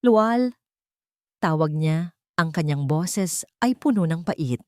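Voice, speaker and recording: A woman's voice: low voice, measured pace, mellow, dry recording, studio quality. A woman reading scripted dramatic narration as a storyteller.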